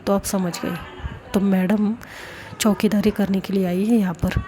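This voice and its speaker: Narrator voicing young woman, sharp and accusing, sarcastic edge, warm dark tone. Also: medium pitch, steady tone, a little slow, a little hiss or hum, close to an everyday voice. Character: sharp, suspicious young woman confronting a friend.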